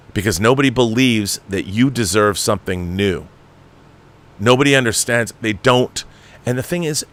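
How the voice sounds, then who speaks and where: A man's voice: on the low side, a bit bright. A man delivering an unscripted film rant as a show host, emphatic, exasperated and profane, repeating phrases for emphasis. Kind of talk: ranting film commentary, unscripted.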